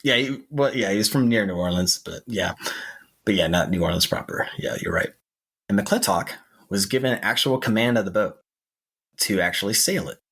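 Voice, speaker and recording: A man with a husky voice telling history in a conversational podcast style. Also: lowish voice, animated, normal speed, a bit bright. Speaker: podcast co-host.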